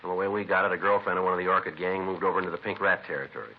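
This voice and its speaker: An actor playing a terse, methodical American police detective, narrating casework in a clipped, matter-of-fact 1950s radio crime drama style. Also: male voice, sits low, fairly even intonation, very fast. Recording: muffled vintage radio recording, faint music.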